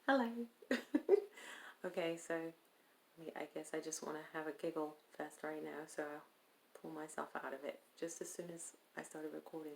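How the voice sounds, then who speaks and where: A low-voiced woman with a gravelly, creaky edge, chatting casually to her viewers in an accent she is putting on on purpose. She rambles and hesitates, pausing often as she goes. A faint hiss of noise sits under her voice. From Stockton, California. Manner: speaking in a deliberately put-on foreign-sounding accent; rambling, hesitant, with many pauses. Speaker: vlogger. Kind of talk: casual video chat.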